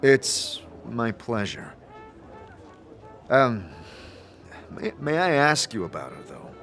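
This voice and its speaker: An actor playing a laconic, dry-humoured and somewhat hesitant wanderer in an audio drama. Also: male voice, medium pitch, big pitch swings, slow pace, fairly bright tone, faint music behind, exaggerated voice. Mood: curious, hesitant. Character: weary, dry-humoured male wanderer, curious and polite. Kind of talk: scripted audio drama acting.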